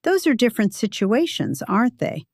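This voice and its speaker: A woman's voice: on the high side, big pitch swings, darkish tone, dry recording, clean studio sound. Woman voicing a shrewd, formal older female cross-examiner. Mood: coolly probing. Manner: measured, formal, probing questions.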